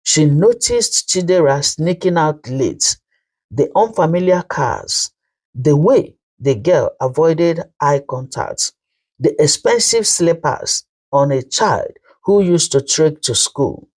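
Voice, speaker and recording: Woman, folktale narration, measured and dramatic, unhurried, highly expressive intonation. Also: husky. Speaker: storyteller. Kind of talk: scripted storytelling narration of a folktale.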